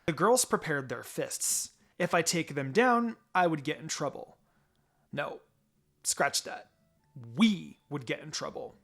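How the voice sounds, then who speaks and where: A man's voice: fairly high pitch, animated, a little slow, vocal fry, a bit bright. A man reading fan fiction aloud as a dramatic first-person story. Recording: music behind the voice. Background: background music.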